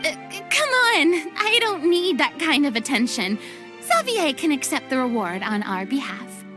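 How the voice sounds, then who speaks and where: Dramatic young starlet for a game: very high, slow-paced, theatrical, music underneath. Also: female voice, very animated. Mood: flustered. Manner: theatrical, flustered, grand. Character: dramatic, theatrical young female film star. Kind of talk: video game character acting.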